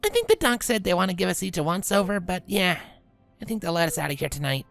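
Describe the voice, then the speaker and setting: A man voicing a casual, caring big-sister pony in a storybook reading, informal and soft-spoken. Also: high-pitched, big pitch swings, quick pace, creaky, soft background music, pitched above the performer's own voice, heavily acted. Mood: casual, reassuring. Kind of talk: dramatised storybook fan fiction reading.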